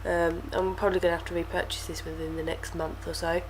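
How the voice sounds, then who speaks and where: A woman's voice: fairly low pitch, little pitch movement, quick pace, slight background noise, low-quality recording. A young woman reviewing beauty products to camera, talking casually and sounding stuffy from a cold. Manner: chatty, with lots of fillers and repeated words. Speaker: beauty vlogger.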